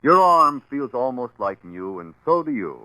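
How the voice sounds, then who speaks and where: A man's voice: medium pitch, sing-song, moderate pace, sharp tone, dry recording, rough recording. A man announcing and reading commercials on 1940s radio in an emphatic, salesmanlike way. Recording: vintage 1940s radio broadcast.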